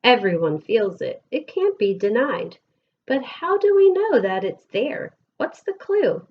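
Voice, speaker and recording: A woman with an American accent reads a picture book aloud to children with expressive, deliberate storybook phrasing, like a schoolteacher. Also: on the high side, husky, highs cut off. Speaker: elementary school teacher reading aloud.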